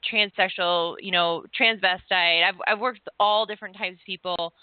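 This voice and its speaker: A woman's voice: medium pitch, fairly even intonation, moderate pace, no echo. Rambling woman host on a tinny line. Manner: rambling, searching for words with many restarts. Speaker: podcast host and former hypnotist. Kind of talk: casual interview chat.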